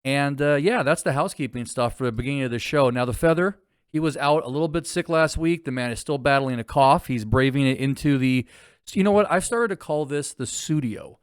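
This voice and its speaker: A man's voice: medium pitch, fairly quick, clear, resonant tone, no echo, studio quality. A man co-hosting a sports betting podcast, chatting casually and joking around. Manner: easygoing, joking. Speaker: podcast co-host.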